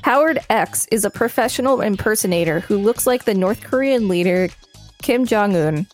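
A woman with a mid-range voice co-hosts a podcast. She moves between reading aloud and casual chat. Soft music sits in the background. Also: medium pitch, lively intonation, moderate pace. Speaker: podcast co-host.

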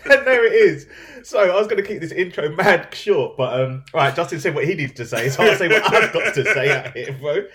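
A man's voice: a little high, lively intonation, brisk, a bit bright. A man co-hosting a podcast in casual, slangy banter. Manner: slangy, chatty. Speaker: podcast co-host.

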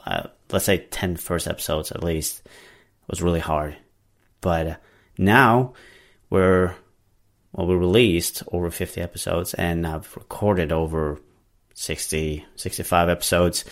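A man's voice: sits low, vocal fry. A man hosting a podcast in English, which is not his first language, talking casually in a rambling way with many fillers and restarts. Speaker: podcast host. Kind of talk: casual solo monologue.

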